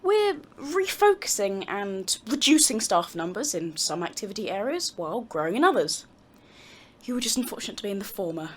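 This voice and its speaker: An actress playing a brisk university vice-chancellor in an audio drama. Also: female voice, mid-range voice, very animated, slightly rough. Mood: dry, dismissive. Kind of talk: scripted audio drama dialogue.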